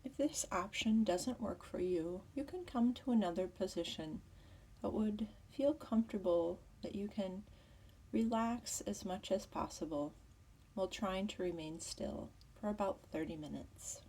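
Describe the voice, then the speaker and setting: A woman teaching yoga nidra as a guided meditation, with a soft, breathy voice and soothing, measured instruction. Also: medium pitch, recorded from a distance.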